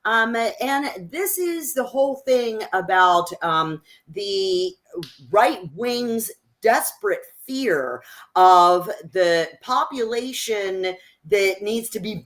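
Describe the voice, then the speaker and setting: Higher-pitched woman co-hosting political talk. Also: animated, takes it slowly. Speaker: co-host of a political discussion show. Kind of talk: unscripted political discussion.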